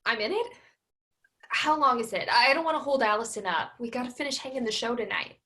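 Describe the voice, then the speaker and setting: A woman's voice: fairly high pitch, brisk, pushed and bright. A woman playing a frustrated, resentful grown daughter in a staged reading of a play. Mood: hurried.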